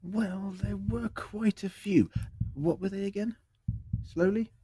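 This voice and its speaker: An actor in an audio drama playing an ancient, weary, eccentric time-travelling Doctor with a dry, hesitant, theatrical delivery. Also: male voice, high voice, slow, mellow, slightly rough, dry recording, heavily acted. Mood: wry, fussy.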